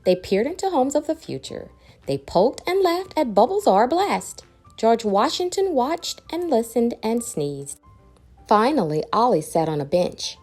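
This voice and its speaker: A woman reading a children's storybook aloud in an expressive, dramatic way with varied emphasis. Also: fairly high pitch, a little slow, soft background music. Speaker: children's book reader.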